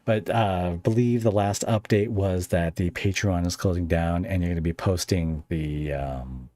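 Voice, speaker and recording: A man's voice: deep voice, moderate pace, dark tone, dry recording. A man hosting a casual podcast interview, talking informally in a rambling, hesitant way.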